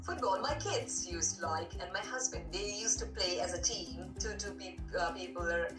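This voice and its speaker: A woman's voice: on the low side, moderate pace. A woman from Pakistan with a Pakistani English accent, chatting at length as an online English tutor, full of fillers. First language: not English. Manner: chatty, long rambling answers with many fillers. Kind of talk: casual conversation lesson over video call. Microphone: tablet video call. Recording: echoey distant video-call audio with music.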